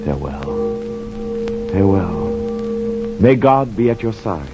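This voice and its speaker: A man's voice: low voice, sing-song, music in the background, exaggerated voice. An actor playing a haunted, penitent mariner who tells his tale in grave, poetic radio-drama verse. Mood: weary reverence. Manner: slow, solemn, impassioned, poetic delivery. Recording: dull lo-fi radio recording, clear noise.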